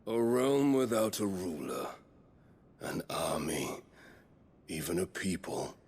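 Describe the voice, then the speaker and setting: An actor playing a menacing, vengeful warlord lord in a video game, speaking in a slow, theatrical, mocking manner with a gravelly voice. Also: male voice, low voice, big pitch swings, a little mellow, a little hiss or hum, full character voice. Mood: mocking, gloating. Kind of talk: video game cutscene acting.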